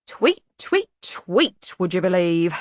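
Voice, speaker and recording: A woman's voice: fairly low pitch, very animated, takes it slowly, no room sound. A woman voicing a cheeky, grumbling raven in a storybook, wheedling and wisecracking.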